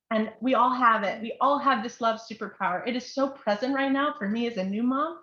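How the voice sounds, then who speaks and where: A woman's voice: mid-range voice, a bit bright. A young woman giving an informal, heartfelt sermon as a church speaker, with an earnest, affectionate manner. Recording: roomy echo, dull lo-fi sound.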